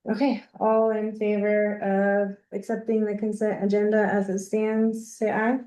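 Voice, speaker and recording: A woman chairing a public board meeting in a brisk, procedural way while losing her voice. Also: medium pitch, monotone, dark tone, roomy sound, low-quality recording.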